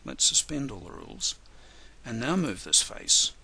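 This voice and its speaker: A man losing his voice, hoarse and chatty, teaching a live software webinar with dry, self-mocking humor. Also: medium pitch, very animated, unhurried, rough recording. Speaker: software trainer.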